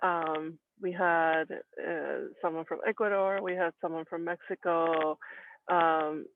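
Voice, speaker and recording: A man answering on a panel, slow and halting with "uh" and "um," heard through tinny phone-like audio. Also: a little high, fairly even intonation, slight background noise. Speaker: study abroad alumnus panelist.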